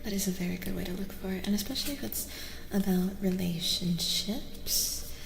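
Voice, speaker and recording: A woman streaming soft-spoken ASMR as a tarot reader, chatting to viewers in an unhurried murmur that often slips into whispers. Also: medium pitch, creaky. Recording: roomy reverberant echo, faint noise.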